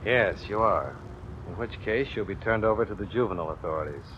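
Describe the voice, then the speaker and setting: An actor playing an earnest, firm, fatherly police lieutenant in an old-time radio drama, measured and deliberate. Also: lowish voice, animated, close to an everyday voice. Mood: stern. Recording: muffled old radio recording, faint noise.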